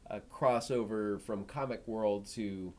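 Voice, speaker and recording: A middle-aged man in a casual discussion, speaking in a halting, reflective way as a co-host. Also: on the low side, a little mellow.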